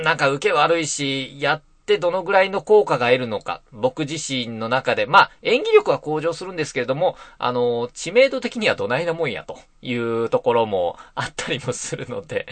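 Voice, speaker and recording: A man with a lively, swinging intonation talks casually as a solo radio host. His pitch sits in a medium range, and he rambles in a self-deprecating way. The sound is close and dry. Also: no echo. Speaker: net radio host and voice actor. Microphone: close mic in a soundproof booth.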